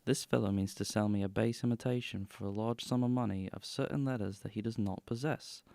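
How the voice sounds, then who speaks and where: Man acting a creaky, dry-witted gentleman detective. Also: on the low side, fairly even intonation, brisk, dry recording. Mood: shrewd. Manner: dry, clipped, wry. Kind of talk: radio drama acting.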